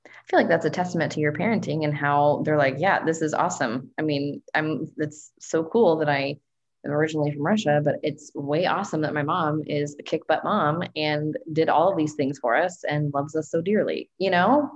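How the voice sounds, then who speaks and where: A woman's voice: low-pitched, fairly even intonation, moderate pace, dull audio. A woman conducting a casual interview in an encouraging, effusive conversational way.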